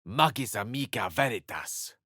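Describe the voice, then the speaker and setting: An actor playing a bookish, genteel wizard in a video game, articulate and measured, with a wry, theatrical way of talking. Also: male voice, medium pitch, lively intonation, bright, forceful tone, no echo, studio quality. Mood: incanting. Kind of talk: video game voice acting, narration and dialogue.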